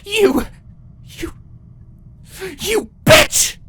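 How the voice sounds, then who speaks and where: A woman's voice: extremely high pitch, wildly swooping pitch, drawn-out words, a bit bright, voice pushed up, full character voice. A woman voicing a fiery young changeling queen in a fantasy story, defiant and anguished, spitting her words with outrage. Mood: enraged.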